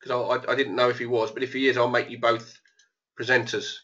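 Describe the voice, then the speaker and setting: A man's voice: mid-range voice, little pitch movement, quick pace, pushed and bright, lo-fi sound. A man who is losing his voice a bit, casually talking through live trades as the host of an online trading room. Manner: casual, thinking aloud. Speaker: trading educator.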